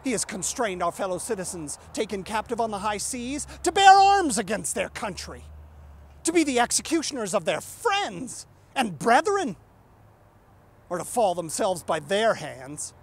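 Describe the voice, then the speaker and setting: A man's voice: high voice, sing-song, normal speed, breathy edge. A man giving an impassioned, indignant and emphatic oratorical recitation of a historical document. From United States. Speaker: reciter, live presenter.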